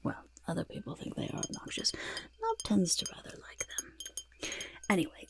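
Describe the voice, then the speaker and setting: A raspy little goblin croaks softly in a breathy half-whisper. The delivery is slow and swoops up and down, boastful and eager, with fussy repeated words in an ASMR roleplay. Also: female voice, mid-range voice, exaggerated voice. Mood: proud, instructive. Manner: speaks of self in third person, fussy, emphatic repetition. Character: boastful, eager goblin potion-peddler of playful temper. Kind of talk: soft-spoken ASMR roleplay.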